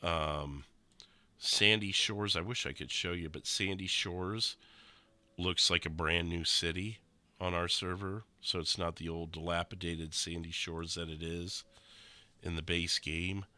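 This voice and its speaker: A young man streaming a game, sounding nasal and rough after being sick, explaining things casually with lots of fillers. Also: low-pitched, a little slow, no room sound. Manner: relaxed, rambling explanations with many fillers. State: nasal and rough from recent illness. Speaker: streamer explaining a roleplay server. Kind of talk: casual gameplay commentary.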